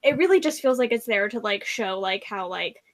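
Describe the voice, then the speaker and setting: A woman's voice: medium pitch, animated, moderate pace. A woman hosting a casual book discussion, giving blunt opinions with lots of "like". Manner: frequent "like", opinionated. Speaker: discussion host. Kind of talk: casual chat about books.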